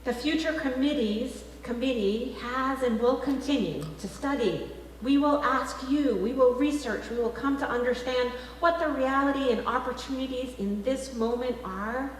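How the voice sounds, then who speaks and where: Echoey high-voiced woman preaching a sermon. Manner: rhetorical questions, emphatic phrasing with deliberate pauses. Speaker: minister preaching to a congregation. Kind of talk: liberal church sermon. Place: large reverberant church sanctuary, indoors. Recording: very echoey hall, faint background noise.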